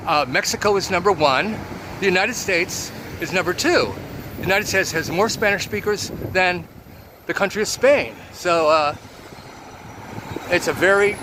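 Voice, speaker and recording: A man in his seventies talking about his life in a relaxed, reflective monologue, with many pauses. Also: on the high side, noisy background. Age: 70s. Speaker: vlogger. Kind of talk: casual personal monologue.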